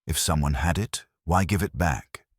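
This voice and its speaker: A man voicing a shaken, bewildered man under police questioning in an audio drama, halting and insistent. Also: extremely low pitch, dry recording, studio quality. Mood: puzzled.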